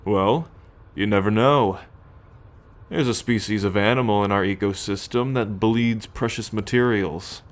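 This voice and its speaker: A low-pitched, haughty alien military captor, acted out by a man for an ASMR roleplay. He talks in measured, imperious phrases, musing and sighing, with a teasing possessiveness and cool menace toward his captive. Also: lightly acted. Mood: proud, musing. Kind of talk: ASMR roleplay acting, addressed to the listener.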